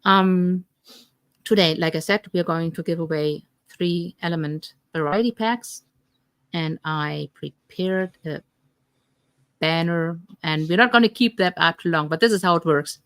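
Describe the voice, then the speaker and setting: A woman's voice: fairly low pitch, a little slow, fairly bright tone, smooth, no room sound. A woman with a German accent, co-hosting a live health coaching Q&A stream with lots of ums and restarts. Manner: chatty, many ums and restarts. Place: home in Atlantic Canada.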